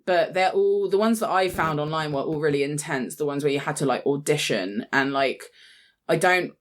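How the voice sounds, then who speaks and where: A young woman with a British accent and a low-set voice. She talks as a podcast co-host in an informal, rambling way, often restarting and correcting herself mid-thought. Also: moderate pace. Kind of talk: casual chat.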